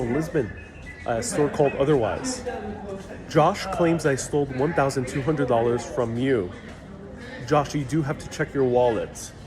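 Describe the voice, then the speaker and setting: A relaxed man hosts a live travel Q&A from a city street, answering viewers' questions with a joking, unhurried manner. His voice sits at a middle pitch and is picked up by a phone. A steady crowd murmur surrounds him. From New York City. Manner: easygoing, joking, thinks aloud with fillers. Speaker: travel livestream host. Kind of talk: casual live Q&A, reading viewer comments aloud. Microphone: phone livestream mic. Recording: crowd noise around a phone stream. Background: crowd chatter.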